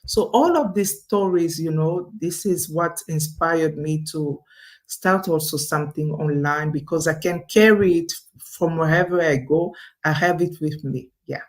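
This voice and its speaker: A woman's voice: sits low, unhurried. A woman from Congo, a native French speaker with a Congolese French accent, telling her own story as an interview guest, repeating phrases for emphasis. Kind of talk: personal storytelling in casual conversation.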